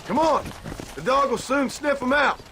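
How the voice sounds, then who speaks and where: A high-pitched rural Western rancher father. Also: animated, moderate pace, noisy background. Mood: defensive, reassuring. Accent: American, rural Western. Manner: plain-spoken, folksy, firm. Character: gruff, protective rancher father with a weary conscience. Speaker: game character actor. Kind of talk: dramatic video game dialogue. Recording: loud outdoor horse-ride ambience over dialogue.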